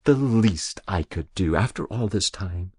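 A man voicing an elderly woman quietly confessing to a long-ago murder, in a raised, lively voice. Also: low-pitched, measured pace, slightly rough, no room sound, clean studio sound, exaggerated voice. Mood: pained.

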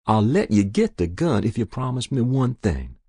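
A man's voice: medium pitch, big pitch swings, moderate pace, mellow, breathy edge, no room sound, clean studio sound, pitched above the performer's own voice. A man voicing a stern, exasperated rural mother with a Southern US accent, blunt and dismissive in a story reading. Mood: firm, relenting. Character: stern, scolding rural mother, adult woman, exasperated but loving.

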